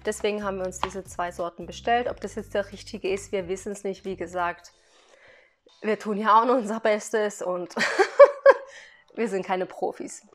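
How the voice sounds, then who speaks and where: A woman's voice: medium pitch, fairly even intonation, normal speed. A woman talking casually to her viewers in a product haul vlog, chatty, laughing now and then between remarks as she explains each item. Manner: explains items one by one to viewers. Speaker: vlogger.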